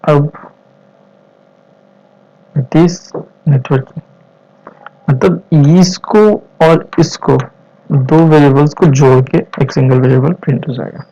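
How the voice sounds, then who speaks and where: A young Indian man gives a step-by-step tutorial at a slow pace, pausing between short phrases. His accent is Indian and he drops English terms into his explanation. The recording is dull and lo-fi. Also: on the high side, fairly even intonation, dark tone. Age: 20s. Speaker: programming instructor.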